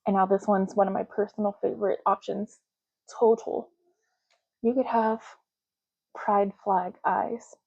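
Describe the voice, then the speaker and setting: A woman speaks softly in a mellow, relaxed voice with a medium pitch. She plays a clerk in an ASMR roleplay, casually explaining choices to the listener. The recording sounds slightly dull and lo-fi. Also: unhurried, gravelly, long pauses, slightly rough. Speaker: ASMR creator playing a clerk making ID cards. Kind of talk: soft-spoken ASMR roleplay.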